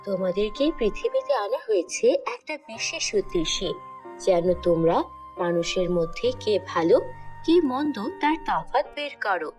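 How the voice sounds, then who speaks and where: A woman's voice: medium pitch, a little mellow, pitched below the performer's own voice. A woman as a stately, commanding fairy. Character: stately commanding fairy, judge of good and evil. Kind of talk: cartoon fairy-tale character voice.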